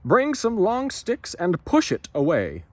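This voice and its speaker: A man voicing an angry, bossy storybook harbor master who shouts orders. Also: high voice, wildly swooping pitch, a little slow, no echo, voice pushed up, exaggerated voice. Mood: angry, urgent.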